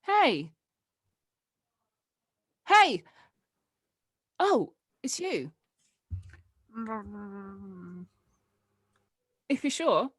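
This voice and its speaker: A woman's voice: sits high, huge pitch swings, extremely slow, a bit bright, no room sound, clean studio sound, heavily acted. A woman playing a hurt, stubborn daughter who gets exasperated, in a dramatic script read. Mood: surprised, relieved. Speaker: actor at a table read.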